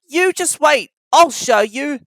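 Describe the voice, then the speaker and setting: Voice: sits high, expressive, takes it slowly, fairly bright tone, dry recording, voice pushed up, exaggerated voice. A storybook narrator voicing a cheeky, fussy, impatient young male little tank engine, with bossy, exclamatory whistling cries. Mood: fussy, grumbling.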